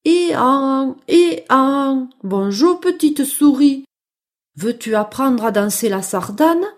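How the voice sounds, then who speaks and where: A woman's voice: high-pitched, lively intonation, takes it slowly. A woman voicing a friendly, helpful male donkey who brays and gives instructions in a children's story. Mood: friendly greeting.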